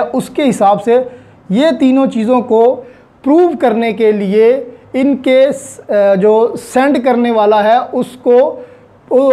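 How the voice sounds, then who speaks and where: A man with a Pakistani accent speaks in a mellow, relaxed tone as a law lecturer. He explains step by step with examples, asking questions and then answering them. English terms and phrases are mixed into his delivery. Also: very high voice.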